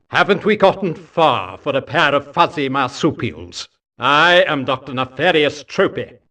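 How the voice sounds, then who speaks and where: Pompous high-voiced time-master villain. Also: male voice, a bit bright, recorded from a distance. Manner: pompous, theatrical, condescending. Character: pompous, haughty male mad-scientist villain, master of time. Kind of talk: video game cutscene voice acting.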